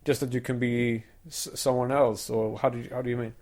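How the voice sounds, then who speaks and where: Mellow Swedish man, stammering. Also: medium pitch, little pitch movement, brisk. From Sweden. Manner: stammering, repeating words. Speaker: podcast host. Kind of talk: casual chat.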